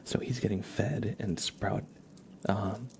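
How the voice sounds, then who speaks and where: A soft-spoken man hosting an ASMR livestream, chatting casually and often dropping into a whisper. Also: fairly low pitch, steady tone, slow pace, creaky, slight background noise. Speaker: livestream host.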